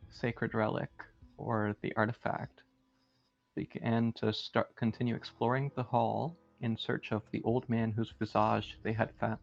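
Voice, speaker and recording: A man playing a tabletop game, recapping the story in a measured way and correcting himself as he goes. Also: fairly low pitch, vocal fry, dull audio. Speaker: player.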